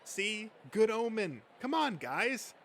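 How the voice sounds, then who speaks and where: Man playing anxious, placating nerd, lightly high-pitched. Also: sing-song, slow pace, fairly bright tone, slight background noise, heavily acted. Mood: hopeful. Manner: pleading, conciliatory, hesitant. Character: anxious placating adult male nerd, eager peacemaker. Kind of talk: comic dub character acting.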